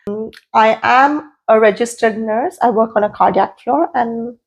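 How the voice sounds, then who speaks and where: A woman's voice: fairly high pitch, a little slow, lo-fi sound. A woman in her thirties, from India and raised in London, speaking as a podcast guest in an earnest, emphatic way and repeating phrases for emphasis. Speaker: guest, registered nurse. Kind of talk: casual podcast interview.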